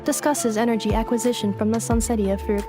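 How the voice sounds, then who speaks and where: Woman playing a robot maid girl, high voice, clipped and formal, earnest, game music playing behind. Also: level tone, fast, close to an everyday voice. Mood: analytical. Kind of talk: game voice acting.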